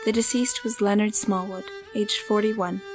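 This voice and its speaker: An actress playing an earnest young woman of the 1870s in an audio drama, gentle and careful with formal wording. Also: medium pitch, steady tone, normal speed, music in the background, lightly acted. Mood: measured narration. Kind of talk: scripted audio drama with first-person narration.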